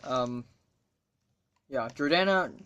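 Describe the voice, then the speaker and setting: A man's voice: medium pitch, takes it slowly, pushed and bright, clean tone. A teenage boy from Hong Kong whose first language is Cantonese talks casually to camera, rambling, swearing freely and making wry asides. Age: teens. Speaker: student vlogger.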